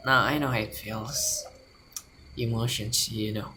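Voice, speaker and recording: A man's voice: fairly low pitch, fairly even intonation, unhurried, background noise. A man giving casual commentary as he plays through a game. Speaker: game streamer. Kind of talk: casual gameplay commentary and reading dialogue. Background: prominent game music.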